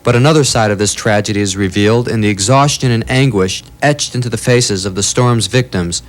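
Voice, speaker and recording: Male correspondent, scripted report, solemn and measured. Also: lowish voice. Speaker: news correspondent.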